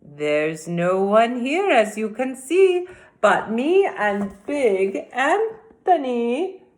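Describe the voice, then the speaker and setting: A woman voicing a calm, knowing older village witch from a storybook, measured and gently scolding. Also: on the high side, voice pushed up, full character voice. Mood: matter-of-fact.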